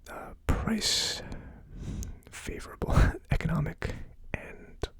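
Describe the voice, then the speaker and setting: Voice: slow pace, fairly bright tone. A person giving a casual ASMR tasting review as host, speaking informally and a little tentatively. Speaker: host of a whiskey tasting series.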